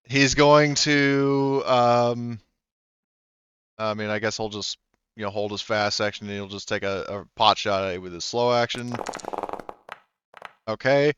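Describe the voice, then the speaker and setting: A man working as game master in a tabletop role-playing game, casually narrating and thinking aloud haltingly through the rules. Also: fairly low pitch, fairly even intonation, a bit bright, clean tone, dry recording, dull audio.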